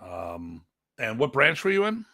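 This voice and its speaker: A man's voice: medium pitch, wildly swooping pitch, measured pace, bright, forceful tone, no echo, studio quality. A man hosting a live online show, chatting casually and interviewing a guest.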